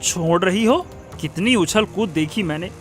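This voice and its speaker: A man voicing a smug, scheming village villain in an animated moral story, boasting and gloating. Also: high-pitched, unhurried, soft background music.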